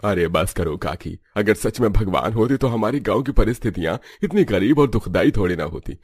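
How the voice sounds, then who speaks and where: A man's voice: fairly low pitch, animated, brisk, a little mellow, breathy edge, no echo, studio quality, faint music behind. A man playing a poor, aggrieved village farmer or labourer in a cartoon story, complaining in plain rustic speech. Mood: despairing, bitter.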